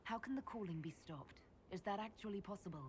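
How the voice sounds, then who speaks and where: An actress playing a composed, earnest heroine in a video game, speaking in a direct, questioning manner. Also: female voice, mid-range voice, fairly quick, a little hiss or hum, lightly acted. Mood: curious, polite.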